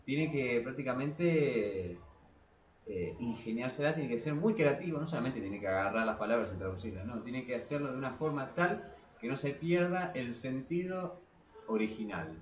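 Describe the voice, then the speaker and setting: A man's voice: medium pitch, animated, muffled recording. Argentine man thinking aloud in an echoey room. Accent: Argentine Spanish. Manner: halting, repeats words as he thinks aloud. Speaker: podcast co-host. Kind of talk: casual discussion. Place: small cramped room below a staircase. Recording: roomy echo of a small room.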